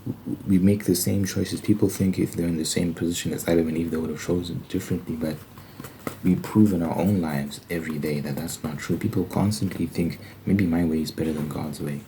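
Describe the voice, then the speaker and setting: Man, very low pitch, soft dark timbre, casual ASMR explainer, halting conversational phrasing. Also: fairly even intonation, rough recording. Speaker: ASMR video creator. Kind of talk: casual ASMR religious monologue. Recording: faint background noise.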